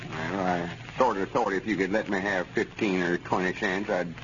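A man's voice: sits low, steady tone, moderate pace, natural voice. A man playing a meek, grumbling country store hand with a rural Arkansas accent, in 1930s radio comedy style. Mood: meek, plaintive. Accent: rural Southern US, Arkansas hill country. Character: hungry, put-upon country store delivery man, grumbling but meek. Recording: muffled, noisy 1930s radio recording.

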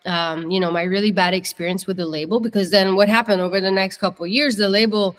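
Guest: young woman from Italy, candid storyteller, mid-pitched voice with a long hall-like reverb. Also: fairly even intonation, fast talker. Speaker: guest singer-songwriter. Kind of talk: casual interview.

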